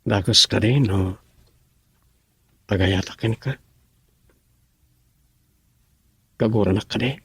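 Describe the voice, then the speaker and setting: An actor playing a tender, earnest young suitor with a husky voice and an Ilocano Filipino accent in a radio drama. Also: male voice, fairly low pitch, unhurried, a bit bright, no room sound, full character voice. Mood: earnest, coaxing. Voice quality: husky, creaky.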